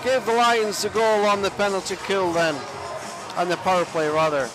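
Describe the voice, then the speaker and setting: A man giving live play-by-play commentary on an ice hockey game, chatty and self-deprecating, sometimes pausing to find his words. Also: sits high, fairly even intonation, low-quality recording. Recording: music clearly audible behind the voice. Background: music.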